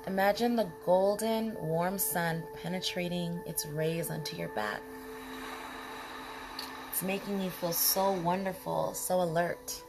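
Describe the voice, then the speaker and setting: A woman leading a guided body scan meditation in a calm, soothing manner, speaking in short, unhurried phrases with pauses. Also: lowish voice. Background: music behind the voice.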